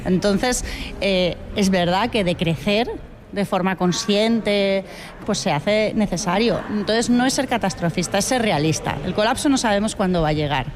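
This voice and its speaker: A woman's voice: medium pitch, moderate pace. A woman giving opinion commentary in a firm, argumentative, matter-of-fact way.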